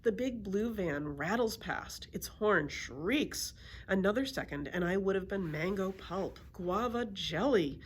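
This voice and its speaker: A woman reading a children's story aloud in an expressive storytelling style. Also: mid-range voice, unhurried, slightly rough. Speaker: library story reader.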